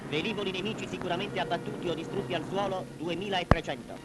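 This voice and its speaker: A man's voice: sits high, fairly even intonation, fast talker, very noisy. A man giving formal, declamatory narration for a 1940s wartime propaganda newsreel, in a solemn manner. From Italy. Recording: vintage 1940s newsreel soundtrack, loud music. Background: loud music.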